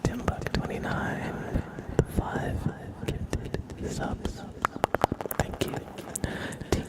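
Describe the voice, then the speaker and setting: A man hosting an ASMR livestream, speaking in a hushed, breathy whisper as he chats with viewers. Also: very slow. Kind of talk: ASMR, live chat with viewers.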